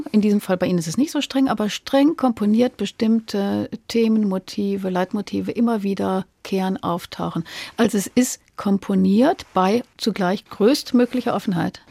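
A woman interviewing thoughtfully about literature, phrasing her questions in a measured, deliberate way with pauses. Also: mid-range voice, dark tone, no echo. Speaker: radio interviewer.

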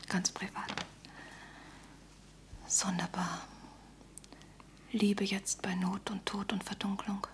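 Casting: young wartime singer in a radio drama; medium-pitched, breathy, whispery, tender, anxious but brave. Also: female voice, little pitch movement, takes it slowly, long pauses. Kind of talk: German radio play acting, intimate dialogue. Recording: faint background noise.

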